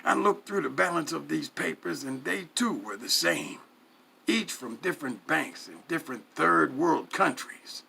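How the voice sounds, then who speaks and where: A man giving a dramatic first-person narration, reading in a theatrical, deliberate way with pauses. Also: fairly high pitch, expressive, vocal fry, sharp tone, slightly rough. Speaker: story reader.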